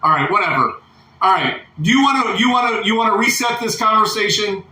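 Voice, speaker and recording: A man argues over the phone as a shift supervisor, his high voice piercingly bright. He lectures and cuts in, sounding irritated. The recording is roomy with faint noise underneath. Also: brisk. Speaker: work supervisor taking call-offs. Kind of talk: heated phone argument.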